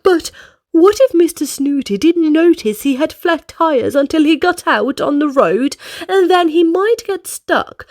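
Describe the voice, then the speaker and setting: Woman putting on a high, fretful little worrier man. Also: animated, measured pace, a little mellow, dry recording, clean studio sound. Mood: fretful, gasping. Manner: fretful, breathless run-on worrying. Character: anxious, fretful male worrier from a children's storybook.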